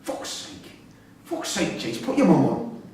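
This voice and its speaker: An actor in a staged reading playing an imprisoned father, pleading and insistent, quick to swear and anger. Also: high-pitched, huge pitch swings, a little mellow, exaggerated voice. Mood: angry. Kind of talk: live staged play reading. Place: theatre-pub performance space, recorded from a distance. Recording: roomy far-off stage recording, faint noise.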